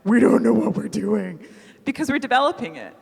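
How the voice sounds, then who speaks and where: A woman's voice: fairly high pitch, lively intonation, brisk, creaky, breathy edge. A woman in her thirties chatting casually on a festival panel, self-mocking and full of fillers. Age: 30s. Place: festival talk hall. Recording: long hall reverb.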